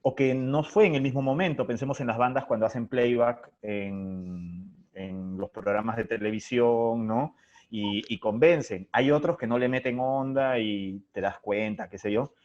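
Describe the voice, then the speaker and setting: A man's voice: mid-range voice, normal speed, darkish tone. A man, a director being interviewed, talking reflectively and explaining through examples. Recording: dull lo-fi online call audio.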